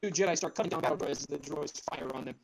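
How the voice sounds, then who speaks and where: A man's voice: fairly high pitch, very fast, gravelly, crowd noise. A man narrating screenplay directions in a casual group read, dropping in amused asides.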